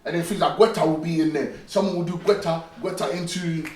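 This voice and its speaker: A man's voice: on the high side, steady tone, quick pace, darkish tone. A young man from the UK, a guest in a casual interview, talking emphatically, repeating points and checking that the listener follows. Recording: roomy echo, distant mic, faint noise.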